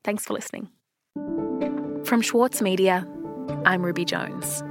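A woman's voice: medium pitch, fairly even intonation, measured pace, over music. Composed woman, podcast host. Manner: clear, composed presenter delivery. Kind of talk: scripted narration and interviewing.

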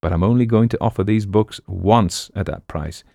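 A man voicing a brash, fast-talking book pitchman and con artist in an audiobook story, full of bombastic patter. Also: gravelly, warm tone, dry recording, clean studio sound. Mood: grand sales pitch.

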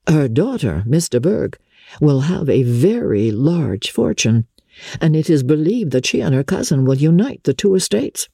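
A woman plays a well-mannered young gentleman in a storybook reading, confiding and quietly critical. The delivery is measured, in a mellow, husky voice recorded dry and close. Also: low-pitched, sing-song, dry recording, exaggerated voice. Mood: gossiping.

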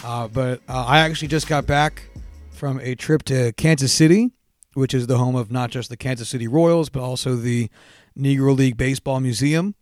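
A man co-hosting a casual podcast, hoarse-voiced, telling stories animatedly and swearing freely. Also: medium pitch, normal speed, no room sound, studio quality, faint music behind. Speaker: podcast co-host. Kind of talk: casual chat.

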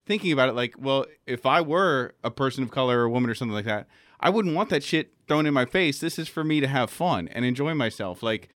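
A man's voice: mid-range voice, lively intonation, fast talker, a bit bright, no room sound, studio quality. A man chatting casually on a panel, candid and informal, with loose, sweary phrasing. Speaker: podcast cast member and panelist. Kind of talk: casual chat.

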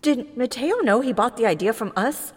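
A woman's voice: a little high, expressive, breathy edge. An actress playing a decisive, scheming woman who leads a small crew in an audio drama. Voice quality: brisk and decisive, dry. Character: scheming, commanding woman leader.

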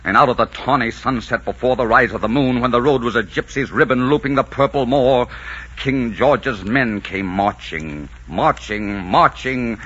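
A man's voice: mid-range voice, moderate pace, slight background noise, natural voice. A man playing a solemn, romantic storyteller, reciting ballad verse theatrically in 1940s radio style. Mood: solemn suspense. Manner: theatrical, incantatory. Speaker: narrator. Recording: muffled 1940s radio recording with loud music. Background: music.

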